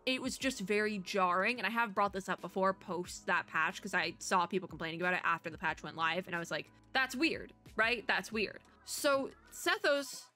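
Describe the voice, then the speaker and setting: Female VTuber host for game reactions: bright, chatty, slangy and gushing, prone to rambling, light music underneath. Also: mid-range voice, normal speed, few pauses. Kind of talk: casual game reaction commentary.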